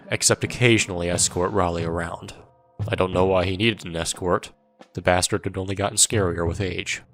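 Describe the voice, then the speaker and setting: A world-weary soldier played by a man narrating an audio drama in the first person. His voice is low, with a dry, irreverent, sardonic delivery. Music sits underneath. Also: lightly acted. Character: wry, world-weary male soldier recounting his war.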